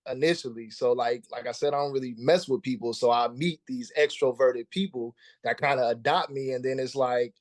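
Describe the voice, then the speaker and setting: A man's voice: medium pitch, steady tone, fairly quick, few pauses, no echo, studio quality. A man in his thirties, a musician guest talking casually in an interview, laid-back and slangy, often repeating words as he starts a thought. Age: 30s.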